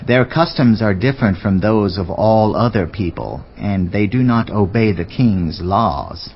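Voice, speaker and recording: Deep-voiced scheming royal official. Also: male voice, expressive, unhurried, breathy edge, exaggerated voice. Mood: calculated persuasion. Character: scheming male royal official persuading his king. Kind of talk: dramatized audio Bible acting. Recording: muffled, dull audio with music. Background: background music.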